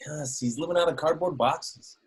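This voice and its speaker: A man's voice: fairly high pitch, normal speed, breathy edge. Guy reading a cheery shifty sergeant, keeps stumbling and restarting. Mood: jovial. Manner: hesitant, lots of ums and restarts. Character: jovial, evasive male police sergeant.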